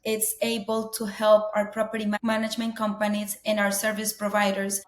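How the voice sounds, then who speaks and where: A woman's voice: mid-range voice, completely flat delivery, a little fast. A woman from Colombia, telling her story earnestly as a guest, often saying "you know". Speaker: guest, business owner. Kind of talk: interview conversation. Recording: roomy echo.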